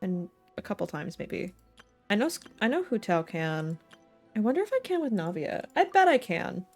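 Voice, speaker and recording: A woman streams a game and chats casually as she goes. She thinks out loud in a playful, meandering way full of silly slang, at a middling pitch, with game music playing behind her. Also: big pitch swings, normal speed. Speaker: livestreamer. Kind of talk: casual gaming stream chat.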